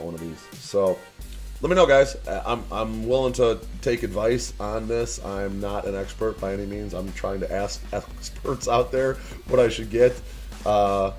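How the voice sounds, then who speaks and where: A young man from the Chicago area chats to camera in a fairly low voice. He rambles, restarts his sentences and fills gaps with "uh" and "you know". Faint music plays behind him. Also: normal speed, a little mellow. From Chicago area, USA. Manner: rambling, many fillers and restarts, asks viewers for advice. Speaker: vlogger and online business owner. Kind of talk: casual talk to camera.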